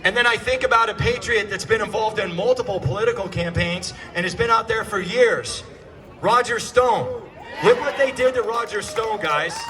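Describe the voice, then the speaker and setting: A man's voice: high-pitched, moderate pace, a crowd in the background. An American man delivering an impassioned political rally speech, projecting his voice and repeating key phrases for emphasis. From United States. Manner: projected, often shouting. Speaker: political activist addressing a rally.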